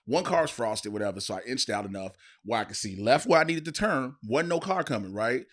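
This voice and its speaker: A man co-hosting a podcast, telling stories in animated, slangy, casual banter from a studio. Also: mid-range voice, fairly quick. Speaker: podcast co-host and stand-up comic.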